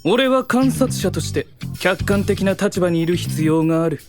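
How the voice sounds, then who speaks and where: A man's voice: high-pitched, expressive, normal speed, music in the background. A man playing a haughty, eccentric young genius inventor, pompous and theatrical, in a fantasy audio drama. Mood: smug.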